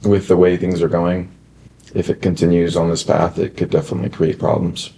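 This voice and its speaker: A student in his twenties answering interview questions deliberately, with wordy phrasing. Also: low-pitched, flat delivery, vocal fry, mellow. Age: 20s. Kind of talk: casual opinion interview.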